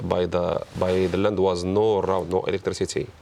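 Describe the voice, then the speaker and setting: Deep-voiced Moroccan man in halting English. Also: flat delivery, vocal fry, mellow, a little hiss or hum. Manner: halting, searching for English words. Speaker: hotel co-owner. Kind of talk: casual interview chat.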